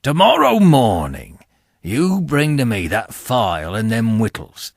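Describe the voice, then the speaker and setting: A man voicing a ragged escaped convict in an audiobook, rough, hungry and threatening, with rustic speech and dropped sounds. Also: mid-range voice, huge pitch swings, unhurried, no room sound, studio quality, exaggerated voice. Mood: threatening, urgent. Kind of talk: dramatised audiobook character voice.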